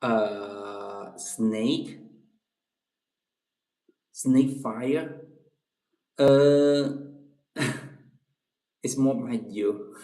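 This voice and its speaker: A man's voice: medium pitch, steady tone, extremely slow, pauses often, warm tone, echoey room, rough recording. A man from China with a Chinese accent, hosting a casual livestream chat with viewers, often repeating himself and tagging his sentences with "okay?". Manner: repeats phrases, tags lines with "okay?". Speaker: livestream host.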